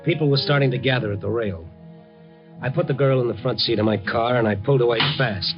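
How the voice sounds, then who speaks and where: A man's voice: mid-range voice, quick pace, natural voice. A man acts out a tough but kindly American newspaperman in a 1950s radio drama. He is wry and understated, with a noir storyteller's delivery. The sound is a muffled old broadcast with prominent music. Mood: brooding. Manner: wry, hard-boiled, understated. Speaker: narrator and lead of a radio drama. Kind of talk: 1950s radio drama acting, noir first-person narration. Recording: dull, band-limited 1950 radio recording with music.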